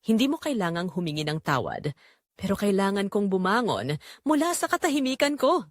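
A woman voicing a long-silent, wronged husband and father in an audio drama, grave, measured and restrained. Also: mid-range voice, sing-song, dry recording, studio quality. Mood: grave, hurt.

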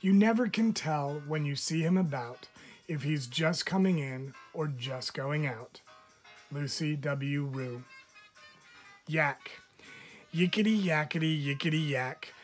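Slow-paced man reading children's poems aloud. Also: fairly high pitch, faint music behind.

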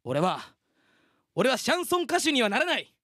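A man's voice: extremely high pitch, huge pitch swings, normal speed, sharp tone, no room sound, clean studio sound, full character voice. A man playing an exasperated teenage schoolboy who throws out straight-man retorts in a comedic radio drama. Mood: indignant.

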